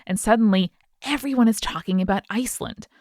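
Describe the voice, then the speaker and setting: A woman co-hosting a podcast, reading out a listener's story in an animated way with chatty asides. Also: mid-range voice, brisk, no room sound, clean studio sound. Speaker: podcast co-host.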